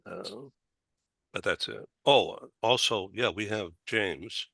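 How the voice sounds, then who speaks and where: An older man hosting a casual panel chat, halting, putting questions to the guests. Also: fairly low pitch, gravelly, pushed and bright, slightly rough, no room sound, studio quality. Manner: slow, halting, questions with rising lift. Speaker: talk show host.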